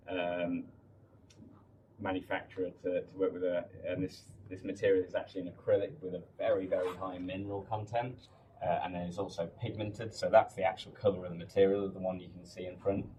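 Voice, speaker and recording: A man giving an informal technical product presentation as a design lead, haltingly, often repeating words. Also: low voice, flat delivery, warm tone. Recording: distant mic, faint room noise.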